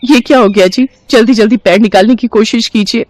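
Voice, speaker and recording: A woman's voice: a little high, brisk, darkish tone, close to an everyday voice. A woman voicing a poor, pregnant young widow in a cartoon story, speaking in an earnest, pleading way.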